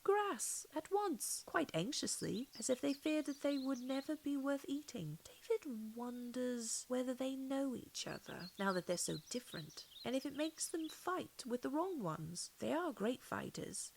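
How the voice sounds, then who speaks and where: A woman's voice: a little high, vocal fry, a little hiss or hum. A woman reading a classic storybook aloud as scripted audiobook narration. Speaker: audiobook reader.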